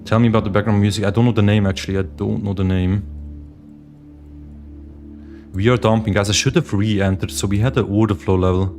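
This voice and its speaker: A man's voice: lowish voice, steady tone, fast, darkish tone. A young man from Switzerland hosting a casual livestream, chatting with viewers and reading their chat questions aloud. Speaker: livestream host, day trader. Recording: meditation music playing behind the voice.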